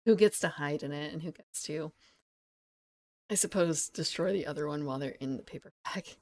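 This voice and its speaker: A woman streamer with a lowish, gravelly voice, still croaky from just waking up. She chats loosely with her viewers, wandering off topic, giggling often and correcting herself as she goes. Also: normal speed, a little mellow. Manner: rambling, giggly, self-correcting. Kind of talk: casual live-stream chat.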